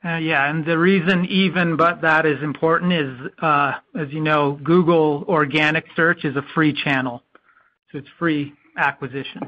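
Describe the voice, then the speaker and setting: Higher-voiced male executive, muffled audio. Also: fairly even intonation, moderate pace. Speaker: company executive. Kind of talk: earnings call remarks. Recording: dull lo-fi conference call audio.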